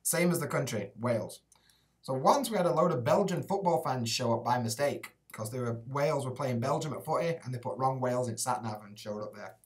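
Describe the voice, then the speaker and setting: A man's voice: medium pitch, animated, brisk, distant mic. A young Yorkshire man chatting loosely to his viewers, dropping swear words and "mate" as he goes. His voice sits a little back from the webcam mic in a small indoor room. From England, Rotherham area. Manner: casual, sweary, laid-back banter with lots of "like" and "mate". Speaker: YouTuber living in Japan. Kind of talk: live stream Q&A reading chat.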